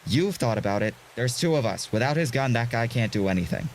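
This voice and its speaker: A man's voice: mid-range voice, lively intonation, fairly quick, a little hiss or hum, close to an everyday voice, poor audio. An actor playing a hot-headed young male hostage in an audio drama, urgent, stammering and defiant.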